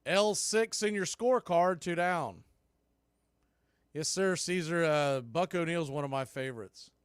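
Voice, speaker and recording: A man's voice: fairly high pitch, a little slow, clean tone, no echo, studio quality. A man calling baseball play-by-play, rising sharply on big plays. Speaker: play-by-play announcer. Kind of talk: radio play-by-play commentary.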